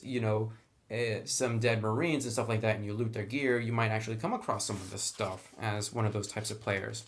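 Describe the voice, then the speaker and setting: A man giving a casual, unscripted review of a roleplaying book in a conversational, enthusiastic way. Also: medium pitch. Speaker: reviewer of tabletop roleplaying books.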